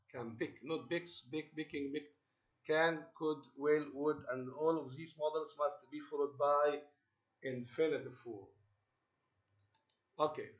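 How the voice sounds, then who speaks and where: A man teaching an English class, halting with many fillers and switching between English and Arabic. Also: a little high, fairly bright tone. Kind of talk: online classroom lesson. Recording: muffled lo-fi audio.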